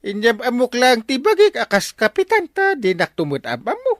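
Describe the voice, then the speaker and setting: An actor playing a boastful, hot-headed village captain in an Ilocano radio comedy, blustering and insistent, with an Ilocano accent. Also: male voice, very high-pitched, huge pitch swings, normal speed, a bit bright, no echo, clean studio sound, exaggerated voice. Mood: defensive. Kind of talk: broadcast comedy drama acting in Ilocano.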